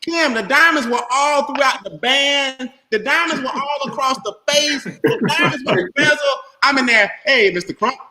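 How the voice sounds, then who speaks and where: A man telling a long, animated story on a group video call as host and trainer, loud and laughing, with casual slang. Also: very high voice, normal speed, few pauses. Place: home room on video call. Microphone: webcam or laptop mic on video call. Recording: echoey room, faint noise.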